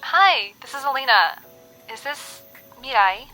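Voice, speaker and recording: A woman's voice: high-pitched, animated, a little slow, bright, forceful tone, faint music behind, exaggerated voice, poor audio. A woman playing an earnest, secretive young woman in an audio drama, insistent and cryptic on a call. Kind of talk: audio drama phone conversation.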